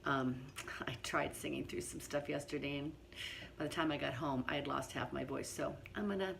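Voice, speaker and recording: A hoarse middle-aged woman with laryngitis, talking quietly and partly whispering as she demonstrates a recipe in her home kitchen. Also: low-pitched, normal speed, background noise. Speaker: home cooking host. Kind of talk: casual cooking demonstration.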